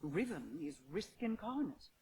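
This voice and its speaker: Slow, solemn queen. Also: on the low side, sing-song, dark tone, breathy edge, heavily acted. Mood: wary resignation. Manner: measured, solemn, stately. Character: regal, wary queen, grave and commanding. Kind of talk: dramatic game cutscene dialogue.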